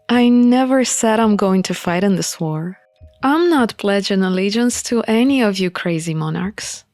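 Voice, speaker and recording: A woman narrates a visual novel playthrough at a slow, deliberate pace. Her voice sits at a medium pitch, and she moves between reading and commentary. Music plays faintly underneath. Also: a little mellow.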